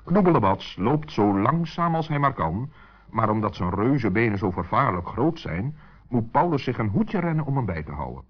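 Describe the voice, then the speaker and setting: A man's voice: mid-range voice, sing-song, moderate pace, husky. A man narrating a scripted children's story as a storyteller. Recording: dull, muffled old recording.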